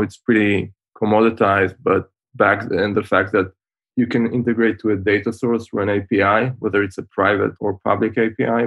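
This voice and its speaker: Gravelly Israeli man, low flat voice. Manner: measured, explanatory, with frequent "uh" pauses. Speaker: guest, fintech founder. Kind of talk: podcast interview over video call. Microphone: video call.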